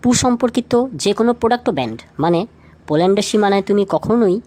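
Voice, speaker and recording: A woman's voice: mid-range voice, animated, fast, warm tone. A woman presenting a scripted, light trivia feature, speaking directly to the listener with rhetorical questions. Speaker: lead narrator of a feature video.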